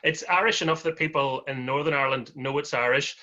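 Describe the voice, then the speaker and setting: Male podcast host, friendly and chuckling, bright edge on a muffled lo-fi track. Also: mid-range voice, quick pace. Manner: friendly, chuckling, rambling questions. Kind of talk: casual interview chat. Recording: dull lo-fi audio.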